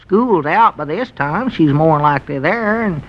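An actor playing a dry, plain-spoken old storekeeper with a slow, teasing drawl, in a vintage radio comedy. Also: male voice, a little high, lively intonation. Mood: exasperated. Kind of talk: 1930s-50s radio comedy acting. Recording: dull old radio recording with faint hiss.

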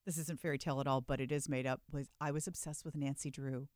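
A woman's voice: rumbling low, steady tone, fast talker, a crowd in the background. A woman chatting casually as a podcast guest, repeating phrases for emphasis. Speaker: podcast guest, stage performer. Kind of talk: casual chat.

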